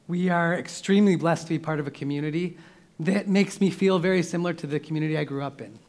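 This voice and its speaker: A young man pastor preaching with casual, emotional asides, laughing often and clearing a scratchy throat into a handheld microphone in a church. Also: a little high, fast, a little mellow. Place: church sanctuary.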